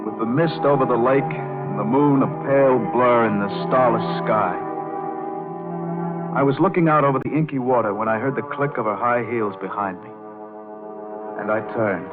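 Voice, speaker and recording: A man's voice: mid-range voice, normal speed, pauses often, close to an everyday voice. An actor playing a hot-headed, lovestruck young American man narrating an old radio crime drama in a brooding, dramatic way. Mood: brooding, intense narration. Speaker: actor, first-person narrator. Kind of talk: 1940s-50s radio drama acting, noir narration. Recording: tinny old radio, band-limited, organ music behind.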